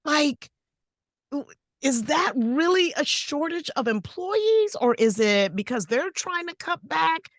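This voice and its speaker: Woman, raspy voice, animated, exclaiming, dry recording. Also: high-pitched, slow pace, dry recording. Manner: animated, exclaiming, self-interrupting. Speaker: podcast co-host. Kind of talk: casual podcast chat.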